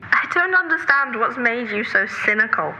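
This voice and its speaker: A woman plays an earnest sister in an audio drama, with a very bright, forward voice that sits a little high. She is orderly and nagging, pressing her point with exasperated care. There is faint noise behind her. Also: lively intonation, moderate pace, highs cut off. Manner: persistent, pressing, lecturing. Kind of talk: naturalistic audio drama dialogue.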